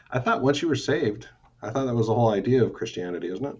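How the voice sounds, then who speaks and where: Lively-voiced man hosting a podcast. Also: medium pitch, fast talker, breathy edge, muffled recording. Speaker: podcast host. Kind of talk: podcast interview with scripted intro.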